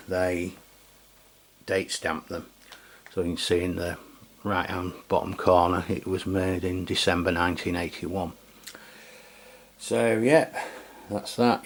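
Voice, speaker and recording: A man hosts a casual hands-on review in a deep voice. He talks in a matter-of-fact, rambling way, feeling for his words as he goes. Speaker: radio review host.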